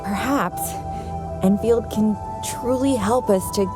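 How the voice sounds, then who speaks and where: A woman acting as a composed female scientist in a video game. She explains things in a measured, earnest way at a middle pitch. Music plays clearly behind her. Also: natural voice. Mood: earnest, reflective. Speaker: game character.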